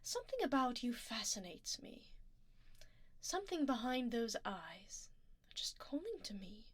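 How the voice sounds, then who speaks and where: A woman's voice: fairly high pitch, very animated, a little slow, husky, no echo, full character voice. A woman playing a haughty, doting vampire duchess in an intimate ASMR roleplay, imperious and refined, often slipping toward a whisper. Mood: intrigued, teasing. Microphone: close binaural microphone.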